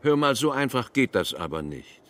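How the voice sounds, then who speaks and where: An actor playing an officious, insistent school inspector with a formal, bureaucratic manner in a radio play. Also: male voice, medium pitch, very animated, moderate pace, fairly bright tone. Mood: indignant, threatening.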